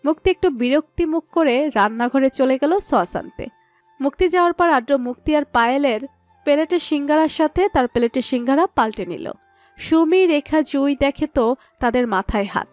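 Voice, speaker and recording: A woman narrates a scripted story in a high-pitched voice. The sound is close and dry, with no room around it. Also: lively intonation, normal speed, a little mellow, no room sound, soft background music.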